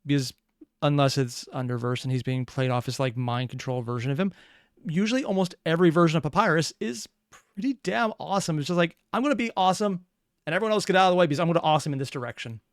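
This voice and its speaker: A man's voice: fairly high pitch, quick pace, no echo, studio quality. A man giving casual, off-the-cuff reaction commentary as a video host, with wry, analytical asides.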